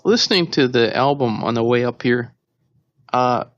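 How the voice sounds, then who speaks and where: A man hosting an interview, asking questions in a halting way and often rephrasing them. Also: mid-range voice, no echo, lo-fi sound. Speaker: interviewer, host. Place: hotel room.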